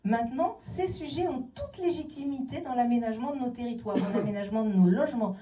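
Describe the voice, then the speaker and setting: A woman's voice: fairly high pitch, fast talker, dark tone, recorded from a distance. A middle-aged woman giving an emphatic public talk on city design to an audience, asking rhetorical questions. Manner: emphatic, rhetorical questions, acts out quoted voices. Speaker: speaker on inclusive city design. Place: town hall meeting room.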